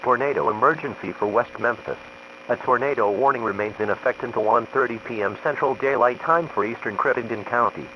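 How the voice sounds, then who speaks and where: A male automated-style announcer reads an emergency weather alert in a formal, measured way. The sound is a dull radio broadcast with clear noise and hum. His delivery is impersonal. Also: mid-range voice. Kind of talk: scripted emergency alert reading.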